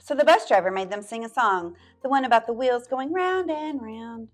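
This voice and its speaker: A woman's voice: fairly high pitch, lively intonation, normal speed, soft background music. A woman library storyteller reading a picture book aloud to children, stretching words out and dropping into playful whispered asides.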